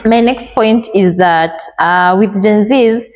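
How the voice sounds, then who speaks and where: Relaxed Kenyan woman, reverberant room. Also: mid-range voice, slow pace. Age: 20s. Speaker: panel guest. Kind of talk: casual panel chat.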